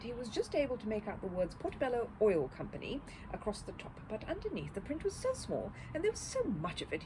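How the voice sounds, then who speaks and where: A woman reading a children's story aloud as an expressive narrator. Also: on the high side. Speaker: storybook reader. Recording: clear background noise.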